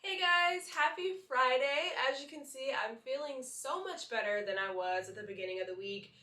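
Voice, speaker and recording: A young woman with a somewhat high, nasal voice from a cold, chatting to her vlog camera and dropping "like" all through. She sounds a little distant from the mic, and her voice rings in an echoey room. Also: normal speed, sharp tone. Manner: chatty, leans on "like" fillers. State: congested from a cold. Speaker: vlogger and model. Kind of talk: casual chat to camera.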